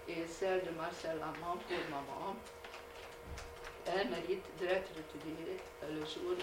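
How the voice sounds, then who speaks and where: A woman whose first language is Hungarian, reading a measured academic lecture with frequent hesitations. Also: fairly low pitch, recorded from a distance. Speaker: conference speaker. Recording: echoey hall recorded from afar, noisy.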